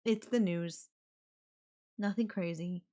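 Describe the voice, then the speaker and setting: A woman voice actor playing an obsessive, possessive girlfriend in an intimate audio roleplay, with a coy, casual, drawn-out way of talking. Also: fairly low pitch, fairly even intonation, warm tone. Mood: casual, matter-of-fact. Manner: coy, drawn-out, casual filler-laden phrasing with giggles and sighs. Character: obsessive, possessive yandere girlfriend, playfully menacing kidnapper.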